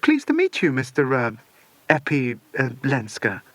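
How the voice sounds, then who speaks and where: A man's voice: medium pitch, huge pitch swings, a little slow, a bit bright, no echo. A man voicing a polite, slightly flustered woman in charge of children in a children's audiobook.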